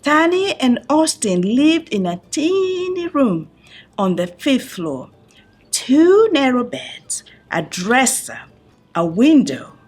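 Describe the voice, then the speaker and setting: A woman reads a children's picture book aloud in a fairly high, slightly husky voice. Her delivery is expressive and swoops up and down, with deliberate, dramatic pauses for young listeners.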